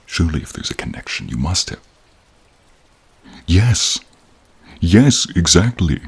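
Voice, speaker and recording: Grave protective dragon, extremely low pitch, heavily husky and breathy, formal wording, dramatic pauses, fantasy roleplay. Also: male voice, big pitch swings, heavily acted. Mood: earnest, entreating, then animated, wondering. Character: old wise protective male dragon, grave and caring. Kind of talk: fantasy audio roleplay, addressing the listener. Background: rain and cave ambience.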